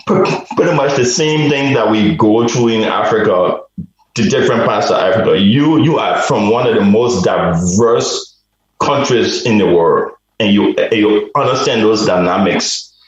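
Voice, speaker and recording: A man from Liberia, a guest on a radio interview, telling a personal story slowly and reflectively, often restarting his sentences. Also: on the low side, very echoey, lo-fi sound. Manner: slow, reflective, with repeated restarts.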